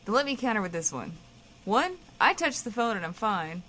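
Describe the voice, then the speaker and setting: An actress playing a blunt, teasing, bossy guest co-host who swears freely in a comedic audio drama. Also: female voice, medium pitch, big pitch swings, moderate pace, a bit bright.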